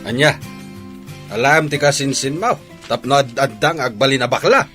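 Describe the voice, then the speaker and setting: A man playing a stern, stubborn farmer father with a gruff, scolding manner in a village comedy radio drama. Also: mid-range voice, animated, normal speed, over music, lightly acted. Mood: scornful, stubborn.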